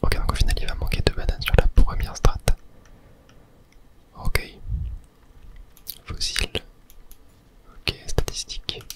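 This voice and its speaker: A man whispering casual ASMR gameplay commentary close to the microphone, breathy and muttering to himself and viewers. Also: measured pace, pauses often. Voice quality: whispered, breathy, mostly unvoiced. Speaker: game streamer, ASMR video host.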